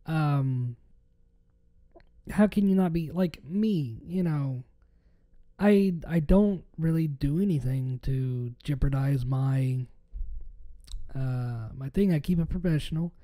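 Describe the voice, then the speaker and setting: A man hosting his own podcast, hoarse and a little raspy after being sick, rambling casually with lots of fillers. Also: fairly high pitch, slow, pauses often, dark tone. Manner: rambling with many fillers and restarts. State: hoarse from a recent illness. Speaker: podcast host. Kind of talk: casual solo podcast talk.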